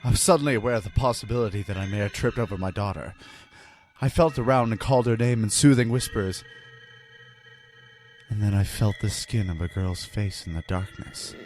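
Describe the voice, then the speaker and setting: A man's voice: lowish voice, a little fast, a little mellow, close to an everyday voice. A man playing a haunted husband and father, narrating a first-person horror story in an ominous, earnest and theatrical manner. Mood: panicked. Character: haunted husband and father confessing a terrifying ordeal. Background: background music.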